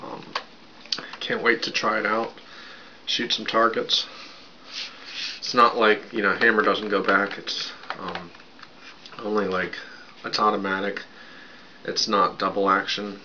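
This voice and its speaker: A raspy man in his thirties rambling casually at his webcam in a small bedroom, with lots of fillers while he drinks. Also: lowish voice, level tone, unhurried, pauses often, bright, forceful tone. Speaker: vlogger. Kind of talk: casual show-and-tell vlog. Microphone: webcam at desk distance. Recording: dull lo-fi sound with background noise.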